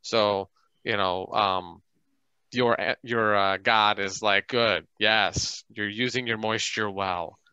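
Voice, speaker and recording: A man's voice: on the low side, fairly even intonation, takes it slowly, bright, forceful tone, clean tone. A man casually explaining rules and dice during a tabletop game on a group video call. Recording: dull band-limited online call.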